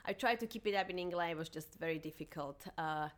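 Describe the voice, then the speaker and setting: Lower-pitched woman from Slovakia, casual interviewee. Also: fast. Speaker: podcast guest.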